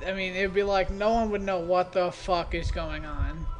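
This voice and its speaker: A man's voice: high voice, little pitch movement, normal speed. A man giving casual, off-the-cuff video commentary in a hesitant, rambling, self-deprecating way. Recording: noisy amateur home recording.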